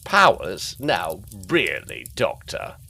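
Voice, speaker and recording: An actor playing a sceptical, disapproving gentleman, incredulous and reproving, in a period gothic audio drama. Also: low-pitched, huge pitch swings, takes it slowly, vocal fry, slight background noise, exaggerated voice.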